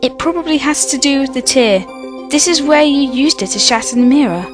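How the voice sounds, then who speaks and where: A woman's voice: sits high, a little fast, music in the background, lightly acted. A woman playing a level-headed, earnest young female adventurer in an amateur radio play. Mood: curious, thoughtful.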